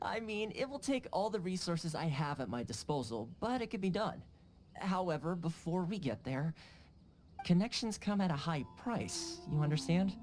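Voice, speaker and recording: A man's voice: fairly high pitch, sing-song, normal speed, darkish tone, faint music behind. An actor playing a courteous, easygoing and shrewd young male fixer in a video game. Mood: measured, teasing.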